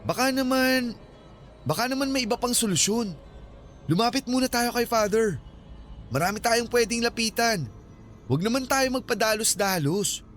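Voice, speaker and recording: A man's voice: extremely high pitch, expressive, normal speed, pauses often, fairly bright tone, faint music behind. An actor playing a coaxing young male vlogger in a Filipino radio drama. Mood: pleading. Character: pleading young male vlogger, coaxing his frightened girlfriend.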